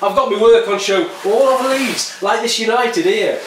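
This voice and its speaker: A man voicing an aggressive, foul-mouthed young skinhead who sneers and shouts threats. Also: high-pitched, normal speed, pitched above the performer's own voice. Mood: scornful. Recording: echoey and distant with faint noise.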